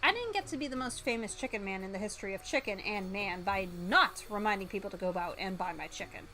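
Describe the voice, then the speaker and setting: A woman voicing a charming, courtly, self-promoting gentleman chicken tycoon from a visual novel. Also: mid-range voice, expressive, fast, faint music behind. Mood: smooth, courtly.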